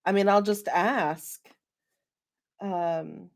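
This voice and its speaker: A woman's voice: on the low side, steady tone, very slow, creaky. A woman playing a tabletop role-playing game in a casual way, speaking tentatively and often in questions.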